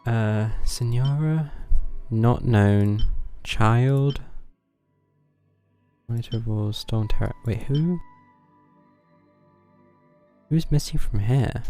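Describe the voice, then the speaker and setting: A man live streaming a game with casual, low-key commentary, half muttering to himself. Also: takes it slowly, warm tone, faint music behind.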